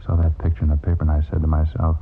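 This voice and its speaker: A man's voice: a bass voice, completely flat delivery, racing, faint music behind. A man playing an eager, stubborn old circus hand in a radio drama, with folksy, rambling, slangy speech. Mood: eager, confiding. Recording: dull, faintly noisy vintage radio recording.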